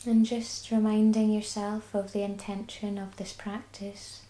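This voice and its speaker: A woman with a Scottish accent guiding a body scan meditation, speaking slowly and soothingly. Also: medium pitch, little pitch movement, echoey room. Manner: slow, soft and soothing. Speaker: mindfulness guide.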